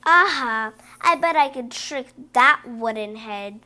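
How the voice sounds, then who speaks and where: Woman playing tricky boy, storybook, high voice, cocky, coaxing, unhurried. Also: animated, bright, forceful tone, exaggerated voice. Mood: scheming. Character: sly, boastful bad boy who tricks others.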